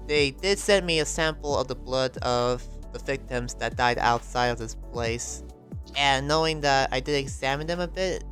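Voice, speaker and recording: A man's voice: mid-range voice, fairly bright tone, lightly acted. A man playing a troubled investigator in an improvised anime fantasy roleplay, casual, hesitant and rambling. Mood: awkward.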